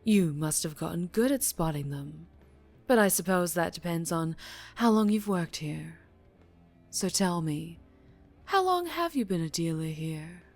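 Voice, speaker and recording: A woman playing a dangerously charming, teasing mafia boss in an ASMR roleplay, speaking deliberately and politely with veiled menace. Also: fairly low pitch, darkish tone, heavily acted. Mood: teasing, probing.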